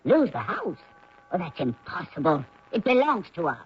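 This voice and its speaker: A woman's voice: fairly low pitch, wildly swooping pitch, moderate pace. Sheltered old lady, very singsong and fretful, old radio play, dull sound. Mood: fretful disbelief. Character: sheltered, fretful elderly sister clinging to Papa's ways. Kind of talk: old-time radio drama acting. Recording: dull, muffled radio drama recording.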